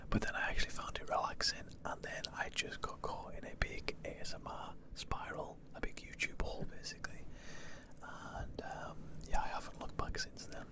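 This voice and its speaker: A man's voice: extremely high pitch, lively intonation, moderate pace. A man from the Lake District, whispering through a casual ASMR question-and-answer session with plenty of ums and likes. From Lake District, northwest England. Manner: soft unvoiced whisper, rambling with fillers.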